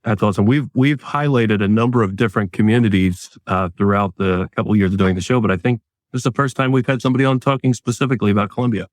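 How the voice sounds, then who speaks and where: Low-voiced chatty male co-host. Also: fairly even intonation, quick pace, dry recording. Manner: chatty, laughs easily. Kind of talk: casual talk show chat.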